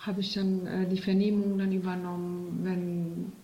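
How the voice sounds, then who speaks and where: A middle-aged woman whose first language is Turkish, a police officer, speaking hesitantly with pauses and fillers in an interview. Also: on the low side, flat delivery, dull audio, a little hiss or hum. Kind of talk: casual interview talk. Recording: echoey room.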